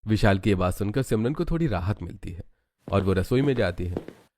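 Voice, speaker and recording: A man's voice: fairly low pitch, lively intonation, a little fast, a little mellow, slight background noise. A man reading scripted storytelling narration for a cartoon.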